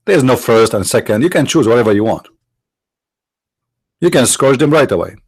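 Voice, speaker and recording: A man whose first language is Arabic, from the Middle East, arguing in a sarcastic, mocking tone and slowing down to stress quoted phrases. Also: medium pitch, expressive, a little mellow, breathy edge, dry recording, clean studio sound. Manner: sarcastic, mocking rhetorical questions. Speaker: apologist speaker. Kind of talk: argumentative lecture.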